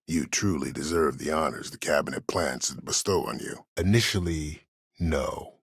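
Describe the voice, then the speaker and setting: Game villain: disgraced old military schemer, extremely low husky voice, coldly self-justifying, formal and imperious. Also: male voice, dry recording, clean studio sound, heavily acted. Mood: cold, righteous. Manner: measured, formal, imperious, calculating. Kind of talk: dramatic game dialogue.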